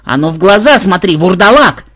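A narrator voicing a sharp-tongued, suspicious female dark elf, mocking and snappish, in a fantasy audiobook. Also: mid-range voice, sing-song, normal speed, slightly rough. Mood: suspicious, accusing.

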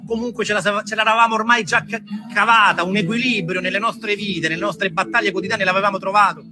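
A man tells a story in a theatrical, reflective way, with dramatic pauses and shifting pace. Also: sits high, fairly even intonation, over music. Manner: dramatic pauses, shifts between slow and quick phrases. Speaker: storyteller, stage performer.

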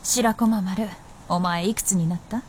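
An actress playing a playful, confident, centuries-old fox princess in a radio drama, with teasing, old-fashioned haughty speech. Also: female voice, mid-range voice, steady tone, a little slow, a little mellow, slight background noise, close to an everyday voice. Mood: teasing, eager. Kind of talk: Japanese radio drama acting.

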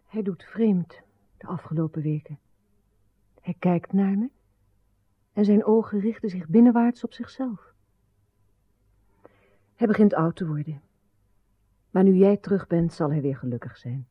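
A woman's voice: fairly low pitch, animated, measured pace, pauses often, slightly rough, dry recording. An actress playing a wistful, tender queen in love in a radio drama. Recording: slightly dull old radio recording.

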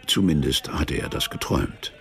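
A male actor narrating a literary radio play in a measured, detached way, as a storyteller with dry irony. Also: a bass voice, big pitch swings, gravelly, a bit bright, soft background music, heavily acted.

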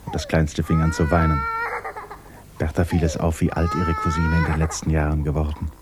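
A man's voice: very deep voice, completely flat delivery, brisk, very noisy. A man narrating a literary radio play as scripted storytelling.

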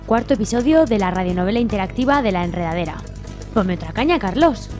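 A woman's voice: mid-range voice, expressive, quick pace. A woman reading scripted narration for a radio drama, with music behind her.

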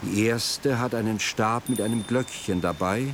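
A man reading literary narration for a radio play in a measured, formal way. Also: fairly low pitch, slight background noise.

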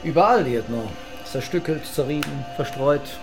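An actor playing a calm, brooding police commissaire with terse, deliberate authority in a radio crime drama. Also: male voice, on the high side, music in the background, rough recording.

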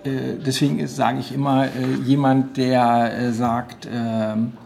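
A man explaining an idea off the cuff, halting and searching for his words. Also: medium pitch, flat delivery, creaky, a little mellow, soft background music. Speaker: co-creator explaining the project. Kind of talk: spontaneous explanation. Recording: faint ambient noise.

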